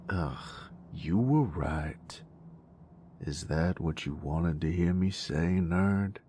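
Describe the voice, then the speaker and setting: Man acting a very deep-voiced, teasing bully boyfriend. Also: mellow, a little hiss or hum. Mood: teasing, fond. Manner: slangy, teasing, halting when sincere. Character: gruff, teasing young bully turned affectionate boyfriend. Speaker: voice actor. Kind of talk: intimate boyfriend roleplay audio drama.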